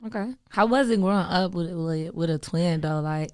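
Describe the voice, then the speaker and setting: A woman's voice: fairly low pitch, moderate pace, no echo, clean studio sound. A woman hosting a radio interview, easygoing and slangy, with short affirmations between questions. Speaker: radio show host. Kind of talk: casual interview.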